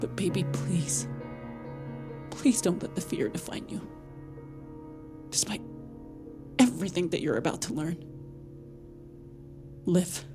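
An actress playing a loving, grieving young mother in an audio drama, speaking haltingly and tenderly. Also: fairly low pitch, animated, over music. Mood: tender, sorrowful. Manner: halting, tender, emotionally weighted. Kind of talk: intimate audio drama monologue and narration.

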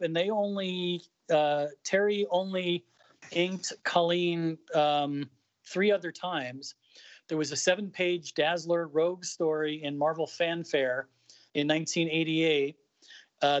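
A man's voice: fairly high pitch, no echo. A man co-hosting a podcast in casual chat, hesitant, with many fillers. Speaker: podcast co-host. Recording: dry, slightly dull lo-fi sound.

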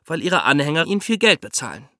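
Female co-host, bright crisp tone, animated intonation, conversational podcast summaries. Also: low-pitched, quick pace, no echo, studio quality.

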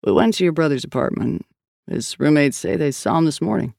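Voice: mid-range voice, expressive, fairly quick, vocal fry, a little mellow, no echo. Brief: a narrator voicing a weary male detective partner, audiobook, dry and offhand, dead close sound. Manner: dry and dismissive. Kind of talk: audiobook character voice.

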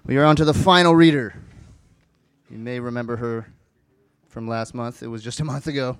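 A man's voice: medium pitch, normal speed, smooth. A man emceeing a poetry reading, casual and rambling, correcting himself as he goes. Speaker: host of a reading night.

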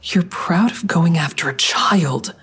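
An actress playing a hard-edged, skeptical woman interrogating an enemy in an audio drama, with a husky voice and terse, pointed, scornful questions. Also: fairly low pitch, slow, a bit bright, a little hiss or hum. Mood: accusing. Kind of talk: full-cast audio drama acting.

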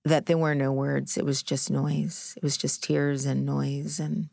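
Very low-pitched, candid middle-aged woman. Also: level tone, vocal fry, a little mellow, dry recording, clean studio sound. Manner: candid, deliberate, introspective. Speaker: interview guest, podcast interviewer by trade. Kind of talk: personal, reflective storytelling in an interview.

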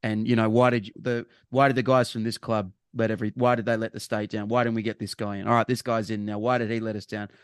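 A man's voice: lowish voice, little pitch movement, fast talker, hardly any pauses, clean tone, no echo. Australian man, podcast co-host, casual footy chat, rambling and emphatic, dry close sound. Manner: rambling, restarts phrases, emphatic.

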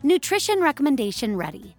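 A woman's voice: high-pitched, big pitch swings, a little fast, music in the background, pitched above the performer's own voice. A woman voicing a cheery, soothing fitness app assistant in an audio drama.

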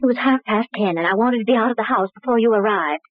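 An actress playing a cool, haughty young widow in the style of 1940s radio crime drama. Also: female voice, a little high, fast, dry recording, close to an everyday voice. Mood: urgent, tense. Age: young adult. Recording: dry, tinny old radio recording.

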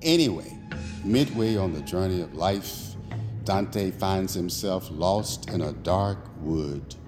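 An actor playing a confident, secretive imaginary companion in an audio drama, speaking in an assured, commanding, ominous way. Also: male voice, low-pitched, expressive, takes it slowly. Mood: assured, scheming. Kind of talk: dramatic audio fiction acting. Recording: long hall-like reverb on voice. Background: prominent music.

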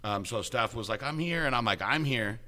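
A man's voice: mid-range voice, lively intonation, fairly quick, pushed and bright. A man hosting a casual interview, upbeat and chatty with frequent restarts. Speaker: host.

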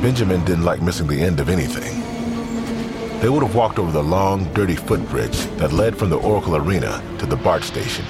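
A man giving a measured, grave scripted narration of a crime drama. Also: low-pitched. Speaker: narrator of a fiction podcast.

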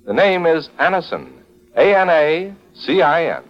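A man's voice: on the high side, big pitch swings, a little slow, over loud music. A man announcing on 1940s radio in a polished, emphatic style, reading sponsor copy and credits. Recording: muffled 1940s radio broadcast recording.

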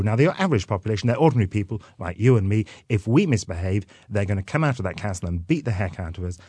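Welsh man, guest expert, dry wit, explanatory, low pitch, dead-dry sound. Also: darkish tone, husky, dry recording. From North Wales. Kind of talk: radio interview.

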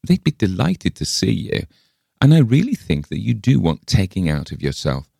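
A practical woman visitor from a storybook, played by a man, with a voice lifted well up in pitch. She is brisk and cheerful, matter-of-fact, and coaxes with polite insistence. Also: huge pitch swings, creaky, warm tone, breathy edge, dry recording, studio quality, full character voice. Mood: coaxing. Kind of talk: dramatised storybook reading.

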